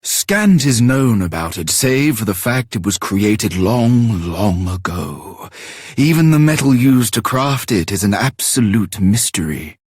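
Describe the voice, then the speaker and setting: Fantasy game role: courtly, musing male artisan, archaic diction, husky and unhurried. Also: lowish voice, very animated, darkish tone, no echo, studio quality, exaggerated voice. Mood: solemn, musing. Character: solemn archaic-spoken male sculptor guarding an old sword. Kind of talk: formal archaic English, theatrical game voice acting.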